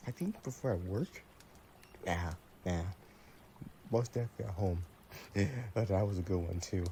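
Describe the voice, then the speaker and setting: Man playing a slow, mellow vampire boyfriend. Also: mid-range voice, a little hiss or hum, natural voice. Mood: playful, chatty. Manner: slow, gentle, teasing, chatty. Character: affectionate, playful vampire boyfriend on a camping date. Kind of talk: intimate boyfriend roleplay audio.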